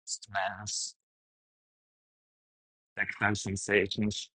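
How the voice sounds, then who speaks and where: A man guiding a meditation, speaking gently in short, halting phrases with pauses. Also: lowish voice, monotone, breathy edge, dry recording. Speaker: meditation teacher, guide. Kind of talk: guided meditation, unscripted instruction.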